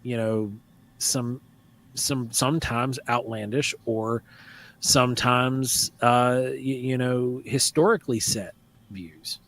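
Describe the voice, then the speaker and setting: Man, podcast host, casual live chat, animated, mid pitch. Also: slow. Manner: animated, mocking, trails off and restarts.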